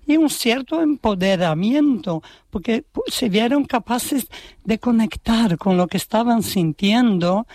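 Slow, reflective woman. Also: on the high side, lively intonation, darkish tone, dry recording, studio quality. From Brazil. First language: Portuguese. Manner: slow, reflective, often ends thoughts with "¿no?". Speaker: poet and writer, guest. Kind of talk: radio interview conversation.

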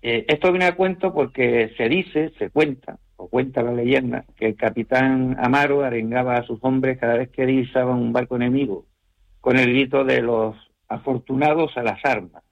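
A man speaks at a middle pitch in a measured, reflective way, pausing with small hesitations and tag questions. He is a novelist being interviewed. The sound is slightly muffled, like a radio broadcast. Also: creaky. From Canary Islands.

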